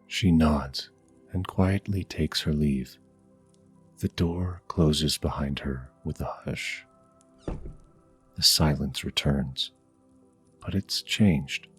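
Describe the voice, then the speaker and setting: Very deep, slow male fantasy narrator. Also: breathy edge, faint music behind. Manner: hushed, deliberate, dramatic pauses. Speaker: game master, narrator. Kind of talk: scripted ASMR fantasy narration.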